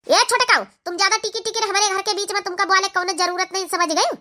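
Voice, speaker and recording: Woman as a high, sharp, spiteful cartoon in-law. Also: steady tone, quick pace. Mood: snappish, complaining. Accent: Awadhi. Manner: complaining, snappish. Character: spiteful, complaining village women of a meddling in-law household. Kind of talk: comedy cartoon dialogue.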